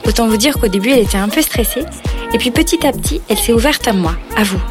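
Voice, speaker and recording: A woman's voice: on the high side, lively intonation, quick pace. Woman hosting a podcast, music behind. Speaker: podcast host and interviewer. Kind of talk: podcast intro and informal interview.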